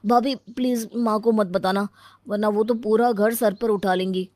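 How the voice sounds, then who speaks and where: A woman's voice: mid-range voice, fairly even intonation, normal speed, darkish tone, dry recording, natural voice. A woman voicing a flustered young brother-in-law, pleading in a cartoon story. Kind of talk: acted cartoon story dialogue.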